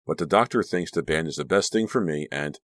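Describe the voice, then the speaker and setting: A man's voice: extremely low pitch, brisk, a bit bright, no echo, clean studio sound. An actor voicing a frightened teenage girl who feels she is losing control, in an anguished, breathless way. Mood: defensive. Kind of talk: audiobook character reading.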